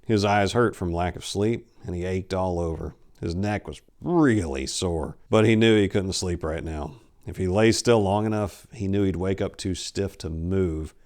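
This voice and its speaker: A man in his forties giving a theatrical, deliberate dramatic reading of a horror story, with emphatic swells and hushed drops. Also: low voice. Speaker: author reading a short story. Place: indoor room lined with bookshelves. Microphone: lapel mic.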